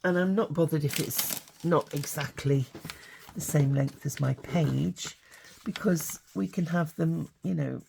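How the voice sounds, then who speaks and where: Older woman, hoarse with hay fever, low unhurried voice, light background hiss. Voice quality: gravelly. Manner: chatty, relaxed, thinking aloud. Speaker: craft channel host. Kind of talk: casual craft tutorial.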